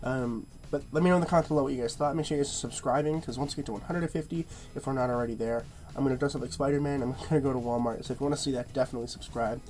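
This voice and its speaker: A man's voice: mid-range voice, fairly even intonation, racing, few pauses, a little mellow. Stuffy-nosed man rambling through a review. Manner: rambling, filler-heavy, repeats words. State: stuffy nose, nasal-sounding. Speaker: movie reviewer. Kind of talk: casual review monologue.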